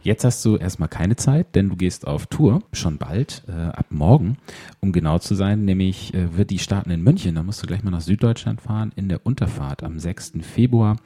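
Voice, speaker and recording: A man's voice: sits low, expressive, normal speed, warm tone, breathy edge. A man working as an interviewer, asking conversational questions and searching a little for his phrasing.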